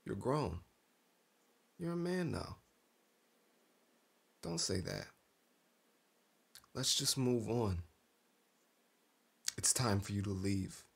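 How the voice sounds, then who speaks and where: An actor as a gravelly, slow-spoken haunted man. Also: on the low side, animated, exaggerated voice. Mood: agitated. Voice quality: gravelly, husky. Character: estranged brother, a tormented killer resigned to his fate. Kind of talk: stage drama reading.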